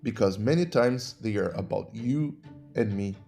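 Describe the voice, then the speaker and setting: A man with a Brazilian accent, a native Portuguese speaker, hosting a podcast and explaining legal ideas in measured, teacherly narration. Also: fairly low pitch, vocal fry, dark tone, soft background music. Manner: measured, didactic. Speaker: podcast host and human rights lawyer.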